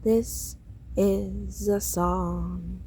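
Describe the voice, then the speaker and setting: A woman reading a children's story aloud in a deliberate, expressive storytelling way. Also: mid-range voice, a little hiss or hum. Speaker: storybook reader.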